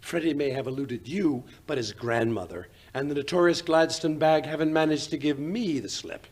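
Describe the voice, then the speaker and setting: A man playing an earnest, slightly flustered gentleman sidekick in a radio drama, blustery and hesitant, with a husky voice. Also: fairly high pitch, normal speed, lightly acted. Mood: self-satisfied, earnest. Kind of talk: 1940s radio drama acting.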